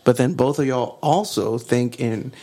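A man's voice: mid-range voice, measured pace, dark tone, husky. A man co-hosting a casual music interview, easygoing and repeating himself as he talks. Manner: laid-back, repeats words, Southern "y'all". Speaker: podcast co-host.

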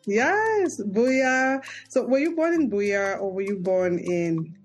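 A woman's voice: mid-range voice, very animated, slow, faint music behind. A woman hosting a podcast, reading a guest introduction and asking friendly, curious questions. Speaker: podcast host. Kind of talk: interview, casual chat.

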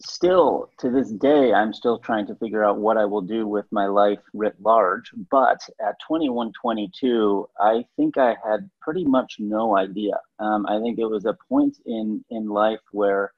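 A man's voice: on the low side, animated, unhurried, creaky, few pauses, no echo. A man from Western New York in his thirties, a guest talking over a call, thoughtful and hesitant with frequent ums and restarts. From Western New York, USA. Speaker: guest, startup CEO. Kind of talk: relaxed interview answers. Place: remote call from home or office. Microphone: call audio. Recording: muffled lo-fi call audio.

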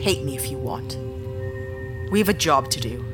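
A woman's voice: rumbling low, lively intonation, normal speed, loud music behind the voice. An actress playing a resolute, guarded woman who leads a sci-fi crew, terse and practical in an audio drama.

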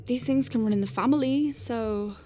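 A woman's voice: a little high, normal speed, warm tone, slight background noise, lightly acted. A woman playing an anxious but wry medic piloting a craft in a science fiction audio drama, thinking aloud in technical terms. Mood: focused, thoughtful.

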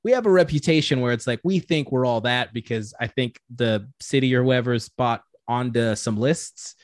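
A man's voice: medium pitch, moderate pace, no room sound, clean studio sound. A young man from Tanzania, a podcast guest, telling stories in a laid-back, slangy way. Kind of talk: casual chat.

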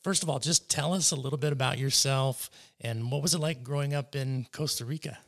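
Male podcast host, mid-pitched, friendly and curious interviewer. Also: a little fast, creaky. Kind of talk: conversational interview.

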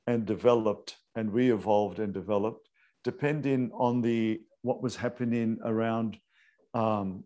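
A priest, his voice low and mellow. He explains at length in an unscripted way, pausing on fillers and restarting his phrases. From Australia. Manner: hesitant, many fillers and restarts.

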